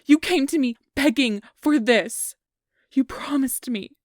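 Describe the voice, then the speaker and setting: A woman's voice: high voice, measured pace, no echo, clean studio sound. A woman playing a possessive, manipulative fairy in an ASMR audio roleplay, imperious and desperate, often whispering her commands. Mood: desperate, furious. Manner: whispery, commanding, swinging to pleading outbursts.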